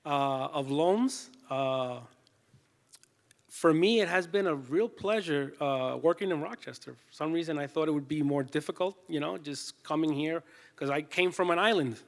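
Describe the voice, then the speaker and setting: A man's voice: on the high side, moderate pace. A man from Puerto Rico speaks informally as a panelist, explaining things and often checking in with the listeners. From Puerto Rico, born in New York City. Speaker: panelist, small business loan adviser. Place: conference room. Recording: echoey hall with long reverb.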